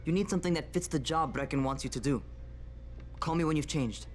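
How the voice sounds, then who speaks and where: A man's voice: fairly high pitch, brisk, a little hiss or hum, lightly acted. An actor playing a cocky, reckless young man in a video game, brash and teasing like a younger brother turned trainer. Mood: bossy.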